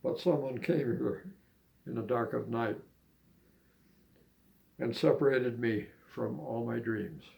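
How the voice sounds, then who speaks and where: An older man with plenty of gravel and fry in his voice. He takes his time, speaking slowly and haltingly, like an amateur poet sharing his own work and memories. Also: mid-range voice, dull audio. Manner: halting, unhurried delivery. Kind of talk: poetry reading and casual reminiscing.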